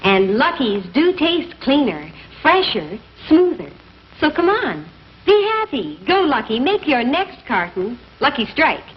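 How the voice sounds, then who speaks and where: A woman giving an earnest, chirpy 1950s commercial pitch. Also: sing-song, measured pace. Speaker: commercial announcer. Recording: lo-fi 1950s broadcast.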